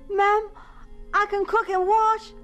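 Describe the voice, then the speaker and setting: A woman's voice: very high voice, flat delivery, slow pace, fairly bright tone, faint music behind, heavily acted. A woman playing an earnest, polite young boy with a Southern US accent, pleading respectfully in a radio drama. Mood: eager pleading.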